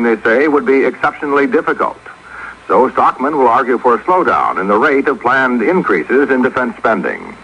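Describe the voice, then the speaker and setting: A man's voice: medium pitch, pushed and bright, husky, dull audio. An American man delivering a scripted radio report as a news correspondent.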